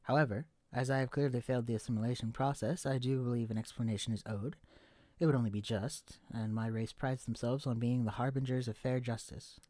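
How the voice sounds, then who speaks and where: A man's voice: mid-range voice, fairly even intonation, fairly quick, darkish tone, no echo, close to an everyday voice. A man voicing a stiff, formal alien posing as a husband, with stilted, pompous, overly proper diction in a scripted audio roleplay. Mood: solemn, condescending.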